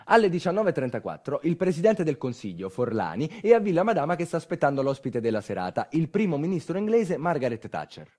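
A man delivering a dramatic monologue as a storyteller, intense and colloquial, moving between hushed and loud delivery. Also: fairly high pitch, big pitch swings, fast, hardly any pauses. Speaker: stage storyteller. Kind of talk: dramatic narrative theatre monologue.

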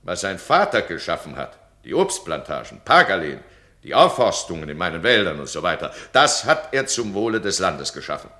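An actor playing a domineering duke who scolds his subject in a radio play, his voice swinging between cold and loud. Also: low voice, sing-song, moderate pace, exaggerated voice. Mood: imperious. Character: domineering stern duke, absolute ruler. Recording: roomy radio drama studio.

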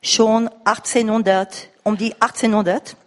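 A woman from France with a foreign accent, speaking from the floor haltingly and insistently as she searches for words. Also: on the high side, fairly even intonation. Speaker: audience member at a lecture. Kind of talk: spontaneous comment from the floor. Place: reverberant lecture room or hall. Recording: echoey hall recording of live talk.

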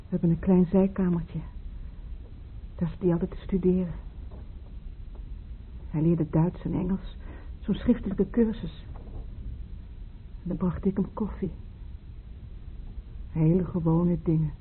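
Actress playing grieving woman patient, weary, halting murmurs, radio drama, thin lo-fi band. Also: fairly low pitch, steady tone, lightly acted. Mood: wistful. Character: grieving widowed psychiatric patient, weary and fixated on dreams. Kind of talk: Dutch radio drama acting. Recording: tinny, band-limited, hissy radio play recording.

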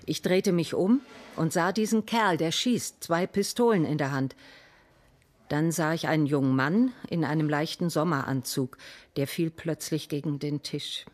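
A woman's voice: low-pitched, unhurried. An actress in a radio crime drama playing an indignant, shaken woman witness, querulous and brusque. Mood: shaken, recounting. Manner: querulous, brusque, easily agitated.

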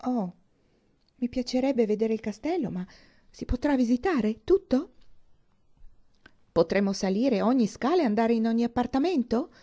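An eager, wide-eyed young woman from an audiobook novel, voiced by a female narrator. She is hesitant and full of questions, with a mellow tone that rises high. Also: animated, moderate pace, long pauses, lo-fi sound. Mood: wistful, anxious. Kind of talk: audiobook character dialogue.

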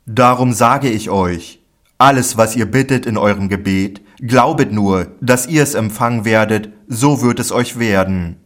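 A man voicing a calm, authoritative male teacher in a scripture reading, solemn and measured. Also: fairly low pitch, lightly acted. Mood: earnest.

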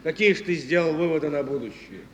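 An actor playing a brisk, bossy chemist who lectures a pupil didactically, drilling him with short questions in a stage drama. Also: male voice, fairly high pitch, a bit bright, highs cut off, lightly acted. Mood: stern lecturing. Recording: reverberant noisy archive stage recording.

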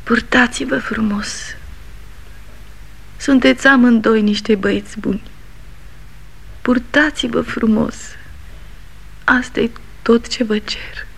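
A weary, gentle wife. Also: female voice, on the high side, steady tone, creaky, pauses often, husky. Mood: soothing. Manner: soft, soothing, coaxing. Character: weary gentle middle-aged wife and mother. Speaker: actor in radio play.

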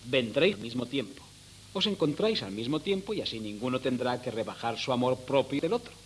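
A man's voice: mid-range voice, animated, fairly quick, close to an everyday voice. Radio play casting: confident, teasing grown son, played by a male actor, coaxing, swooping intonation, hissy old tape. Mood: coaxing, bossy. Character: self-assured, teasing grown son managing his parents. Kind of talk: 1970s radio drama acting. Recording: noisy old cassette radio recording with hum.

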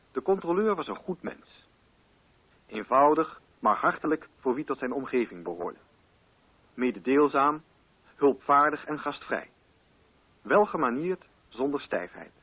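Male narrator for literary radio drama: medium pitch, husky edge, measured formal delivery, slightly muffled archive sound.

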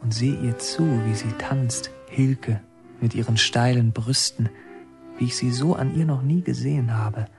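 A man acting as first-person narrator of a radio drama, an anxious son telling memories in hushed, intent short phrases. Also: medium pitch, fairly even intonation, measured pace, gravelly, over music. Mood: tense, secretive. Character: son recounting tense childhood memories, anxious and secretive.

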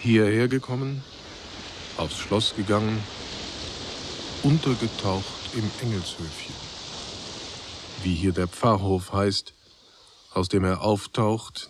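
Sober literary chronicler, radio drama, fairly low pitch, slow clipped measured phrasing. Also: male voice, background noise. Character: sober, unhurried male chronicler of a literary tale.

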